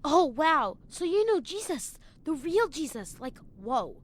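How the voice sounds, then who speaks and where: A woman's voice: sits high, sing-song, unhurried. A woman playing a curious, easily amazed young girl in a children's audio drama, wide-eyed and colloquial.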